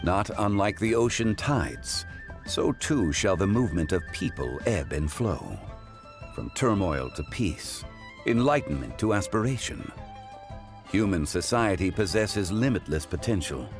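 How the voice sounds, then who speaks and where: A man voicing an ancient, learned gentleman who speaks in a measured, formal, philosophical way. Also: low-pitched, lively intonation, music in the background. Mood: composed.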